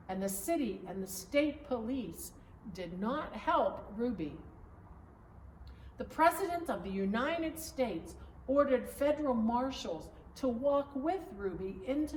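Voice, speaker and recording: Female storybook narrator, higher-pitched and very expressive, deliberate phrasing, recorded in an echoey room. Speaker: pastor reading a storybook. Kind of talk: slow read-aloud storybook narration. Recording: echoey room, distant mic, faint noise.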